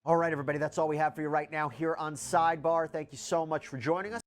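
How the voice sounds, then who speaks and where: A man's voice: fairly high pitch, fairly even intonation, fast. A man presenting legal news commentary as a show host, conversational and emphatic, speaking straight to the viewer.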